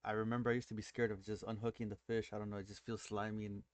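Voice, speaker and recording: A man from Nicaragua with a Miami accent, hosting a trading stream in relaxed, rambling casual chat. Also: lowish voice, rapid-fire, clear, resonant tone, dry recording. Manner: relaxed, rambling, mixes in slang.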